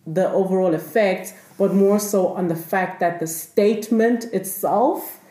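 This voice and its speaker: A woman who grew up in South Africa, co-hosting a law and technology podcast and explaining topics in a relaxed, conversational way. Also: medium pitch, measured pace. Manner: relaxed and explanatory, with frequent fillers. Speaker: podcast co-host, law researcher. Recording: echoey room.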